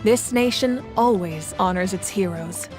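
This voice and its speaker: A woman's voice: medium pitch, measured pace, over loud music, close to an everyday voice. A woman playing a composed, resolute warrior goddess who rules a nation, speaking formally and solemnly in a video game.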